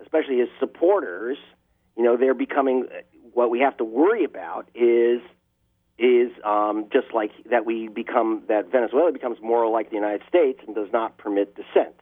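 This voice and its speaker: A man's voice: mid-range voice, moderate pace, slightly rough. Impassioned man on a tinny phone line. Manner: impassioned, building emphasis on key words. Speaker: investigative journalist guest. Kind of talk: interview answers. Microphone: telephone line.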